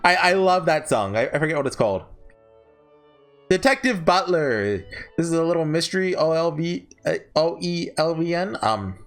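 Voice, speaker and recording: Male video host, lightly high-pitched and very lively in intonation, for off-the-cuff ranking commentary with a rambling, self-correcting delivery. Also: moderate pace, soft background music. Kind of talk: casual unscripted tier-list commentary.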